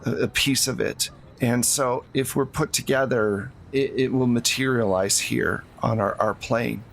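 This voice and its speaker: A man's voice: medium pitch, steady tone, unhurried, vocal fry, fairly bright tone, slight background noise. A man voicing a frightened suburban woman cultist in a tabletop roleplay, stammering and pleading. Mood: anxious confession. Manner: stammering, pleading, repeats words.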